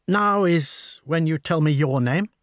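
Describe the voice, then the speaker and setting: A man voicing Dulcie, a brisk posh older lady. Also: a little high, no echo, clean studio sound, voice pushed up, lightly acted. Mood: commanding. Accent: upper-class English. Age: over forty. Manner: brisk, commanding, wry and talkative. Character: tall, proud older woman, brisk and teasing. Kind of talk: audiobook dialogue.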